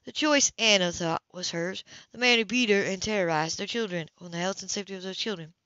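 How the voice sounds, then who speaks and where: A woman's voice: medium pitch, a little fast, bright, forceful tone, no room sound, muffled recording. A woman reading a romance novel aloud as an amateur narrator, stumbling and restarting now and then. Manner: reads with frequent slips and restarts. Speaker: audiobook reader.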